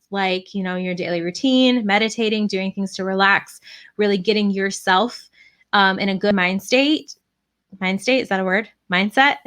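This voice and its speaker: A young woman talks straight to her listeners in a loose, chatty live-podcast style. She is encouraging and full of fillers and asides. Her voice sounds worn and a little hoarse after a long day of talking. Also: mid-range voice, pushed and bright. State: voice tired and starting to go hoarse. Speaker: podcast host and direct sales coach. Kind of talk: casual live video podcast advice.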